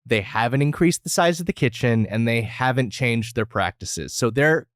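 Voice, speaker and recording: An American man in casual podcast conversation, reviewing as a co-host in an even-handed, conceding way. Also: mid-range voice, normal speed, no room sound, clean studio sound.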